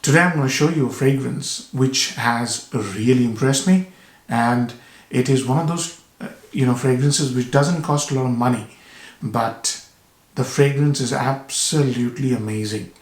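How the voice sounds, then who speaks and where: A man with a cold, hoarse and with a blocked nose, reviewing a fragrance to camera with enthusiasm, repeating words and pausing for breath. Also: medium pitch. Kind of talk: casual product review to camera. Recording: echoey room.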